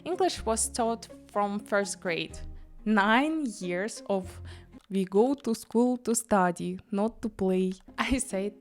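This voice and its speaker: Slow, reflective Russian-accented woman. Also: medium pitch, soft background music. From Kyrgyzstan. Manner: slow, reflective, laughs lightly. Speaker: channel host. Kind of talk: personal essay narration.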